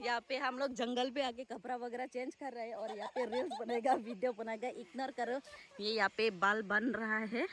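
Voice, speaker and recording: A chatty young woman vlogs on a phone as she walks through rural countryside, her voice a touch high. She swings between loud exclamations and quiet asides. A faint outdoor hiss sits under her voice. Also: steady tone, normal speed. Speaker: vlogger. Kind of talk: casual vlog narration while walking outdoors. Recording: faint outdoor background noise.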